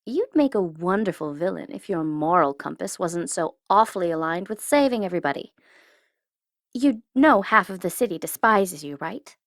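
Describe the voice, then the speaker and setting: A woman's voice: lowish voice, animated, no room sound, clean studio sound. A woman playing a taunting, coy and commanding supervillain who is secretly smitten, in an ASMR audio roleplay. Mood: scornful, taunting. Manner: taunting, coy, commanding, with drawn-out deliberate phrasing. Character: teasing, menacing tsundere female supervillain, secretly smitten.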